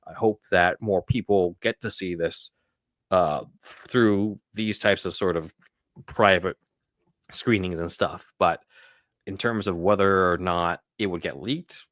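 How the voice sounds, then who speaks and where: A man with a low-pitched voice hosts a casual film discussion. He speaks in an emphatic, conversational way. The sound is dry, with very little room in it. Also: slow, fairly bright tone, no echo. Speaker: podcast host.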